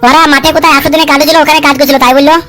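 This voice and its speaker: Casting: woman playing cheeky male sidekick, comedy cartoon. Very high, racing delivery, sly, swearing, argumentative. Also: little pitch movement, fairly bright tone. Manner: chattering, argumentative, coarse, quick with excuses. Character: wily, cheeky male sidekick and schemer, foul-mouthed. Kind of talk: comic cartoon dub dialogue.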